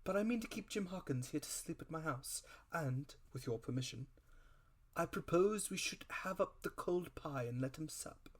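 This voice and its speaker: Man playing a courteous, level-headed gentleman doctor. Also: fairly high pitch, expressive, voice pushed up. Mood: genial, courteous. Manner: measured, courteous.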